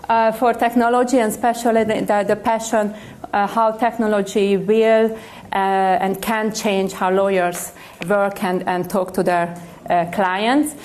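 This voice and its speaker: A woman's voice: a little high, darkish tone. A woman from Hungary with a Hungarian accent, moderating a conference panel, speaking hesitantly with many fillers.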